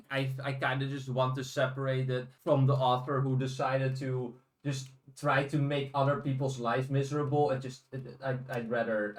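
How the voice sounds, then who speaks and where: A man hosting a podcast in a casual, informal and blunt chatting style. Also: mid-range voice. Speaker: podcast host.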